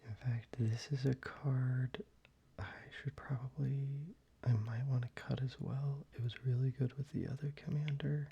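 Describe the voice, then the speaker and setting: Soft whispering man, very dark mellow tone. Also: mid-range voice, little pitch movement, normal speed, creaky, husky. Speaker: ASMR video creator. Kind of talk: soft-spoken ASMR, casual card-collection show-and-tell. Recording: muffled, with background hiss.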